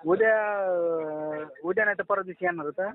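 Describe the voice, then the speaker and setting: A man's voice: high-pitched, normal speed. A man with a Marathi accent from Maharashtra talks casually on a phone call, repeating his instructions insistently. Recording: tinny, phone-like call recording.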